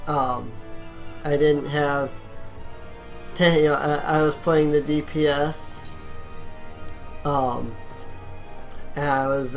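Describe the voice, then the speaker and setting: A gaming video host chatting casually during gameplay, explaining at length in a rambling, anecdotal way. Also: medium pitch. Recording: game music behind voice.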